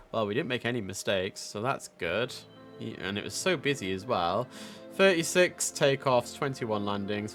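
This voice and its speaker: A man hosting a live game stream, chatting enthusiastically as he comments on play. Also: fairly low pitch. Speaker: livestream host. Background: loud music.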